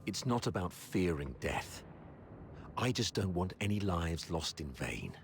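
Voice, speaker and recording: An actor playing an older, weathered army commander in a game, measured and authoritative, wry and steadfast. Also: male voice, deep voice, sing-song, vocal fry, slight background noise, exaggerated voice. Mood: grave, resolute. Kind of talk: game voice acting.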